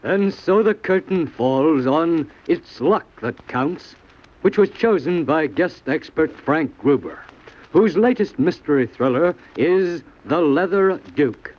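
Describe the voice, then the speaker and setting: A man's voice: on the high side, expressive, slow pace. A man hosting a mystery anthology in a formal, theatrical 1940s radio manner. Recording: muffled old radio broadcast recording.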